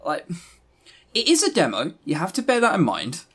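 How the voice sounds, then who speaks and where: A man's voice: fairly high pitch, wildly swooping pitch, moderate pace, a bit bright, husky. A man co-hosting a podcast, giving a casual review in a rambling, informal and blunt way. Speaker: podcast co-host.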